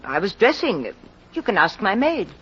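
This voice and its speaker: An actress playing a haughty, fretful middle-aged society matron with a husky voice in an old-time radio mystery. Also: female voice, mid-range voice, very animated, normal speed, fairly bright tone, a little hiss or hum. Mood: defensive. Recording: dull old radio recording.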